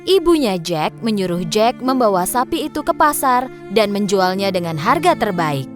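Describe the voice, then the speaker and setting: A woman's voice: mid-range voice, big pitch swings, normal speed, over music. A woman narrating a scripted fairy tale for children.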